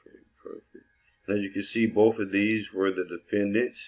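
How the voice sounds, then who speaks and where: A man's voice: fairly low pitch, fairly even intonation, moderate pace, a little mellow, dull audio. A man talking through a screen-recorded tutorial for his assistants, loose and conversational, thinking aloud with restarts. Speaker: real estate investor training assistants.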